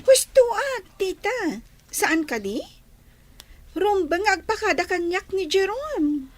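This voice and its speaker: A very high, girlish voice acted by a woman for a melodramatic audio drama. She is possessive and lovestruck, pleading with whiny insistence like someone used to getting her way. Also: big pitch swings, slow, heavily acted. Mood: possessive, insistent. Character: possessive, lovestruck young girl, insistent and pouting.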